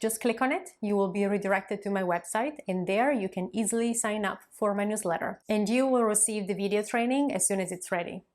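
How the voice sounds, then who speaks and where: Woman, 30s, language coach; friendly encouraging delivery, medium pitch. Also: fairly quick, hardly any pauses. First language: Italian. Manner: warm, encouraging, conversational with occasional fillers. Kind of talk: direct-to-camera coaching talk.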